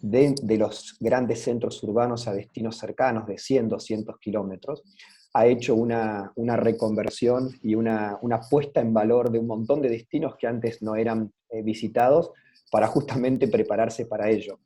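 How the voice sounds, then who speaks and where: A man's voice: fairly low pitch, steady tone, moderate pace, dark tone, husky. A man with a Rioplatense Spanish accent moderating an online business webinar over a video call, inviting guests to speak.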